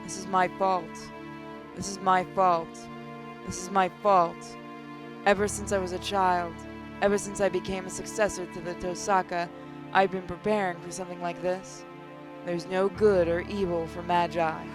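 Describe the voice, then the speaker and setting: A gaming narrator reads story text aloud with care and weight. The intonation stays fairly flat, and game music plays softly behind the voice. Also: mid-range voice, normal speed. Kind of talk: reading visual novel narration aloud.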